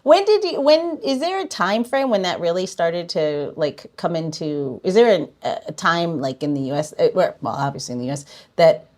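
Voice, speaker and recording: A woman hosts a casual podcast chat in a lowish voice. She talks in a loose, hesitant way, stopping and restarting her thoughts with plenty of fillers. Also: very animated, moderate pace, darkish tone. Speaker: podcast host.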